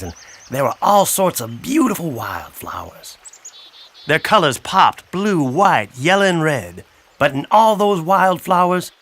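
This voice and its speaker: A man voicing a friendly, wry blackbird who tells a children's story in a folksy, chatty, expressive way. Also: medium pitch. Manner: folksy, expressive, addresses listener directly. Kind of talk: animated children's audiobook storytelling.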